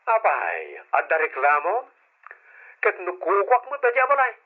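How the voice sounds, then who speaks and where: A man's voice: high-pitched, huge pitch swings, measured pace, full character voice. A man with an Ilocano accent playing a struggling, jobless husband and brother who answers curtly and defensively, in an old radio drama. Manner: defensive, curt. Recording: dull lo-fi old radio recording.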